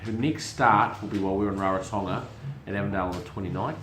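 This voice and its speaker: A middle-aged man with a lowish voice, talking through the week's racing in a loose, chatty way. He often stumbles and repeats words while he hunts for the next one. The room gives his voice a clear echo. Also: fast, background noise. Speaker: racing show host and syndicate manager. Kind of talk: casual family chat show. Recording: roomy echo.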